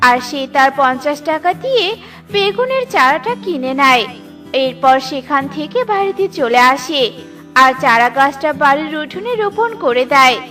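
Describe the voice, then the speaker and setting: A woman's voice: high-pitched, pushed and bright. A woman narrating a children's cartoon story in a simple storytelling way. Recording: children's cartoon with music behind.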